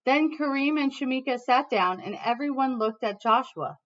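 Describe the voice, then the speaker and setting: An American woman teacher reading a storybook expressively to students, pausing to explain words. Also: sits high, animated, fairly bright tone. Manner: pauses to explain words to children. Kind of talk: expressive read-aloud with explanatory asides. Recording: dull, lo-fi sound.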